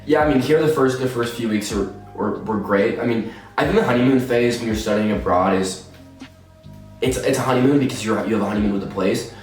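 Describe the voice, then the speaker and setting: A man's voice: medium pitch, fairly quick, faint music behind. A man in his twenties from Texas, an exchange student giving casual interview answers and rambling through restarts and repeated words. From Texas, USA. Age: 20s. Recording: echoey room, mic far off.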